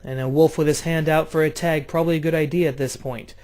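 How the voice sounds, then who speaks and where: A man doing casual play-by-play of a video game wrestling match, jumping between loud outbursts and low, offhand, swearing asides. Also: steady tone, fast talker. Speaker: play-by-play commentator.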